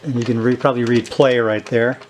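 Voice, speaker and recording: A man giving an unscripted, halting demonstration of equipment, thinking aloud as he handles it. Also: medium pitch, little pitch movement, a little hiss or hum. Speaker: host demonstrating a vintage tape recorder. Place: indoors at a tabletop.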